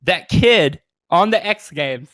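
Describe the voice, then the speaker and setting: A man's voice: sits high, expressive, takes it slowly, fairly bright tone, no echo. A man co-hosting a podcast in casual, slangy, profanity-laced banter and stories. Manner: profane, slangy bro talk. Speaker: podcast co-host.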